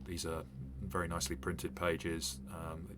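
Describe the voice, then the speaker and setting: Deep-voiced man with many fillers. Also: completely flat delivery, a little slow, over music. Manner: rambling, with many fillers and restarts. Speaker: game master and reviewer. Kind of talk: casual unboxing commentary.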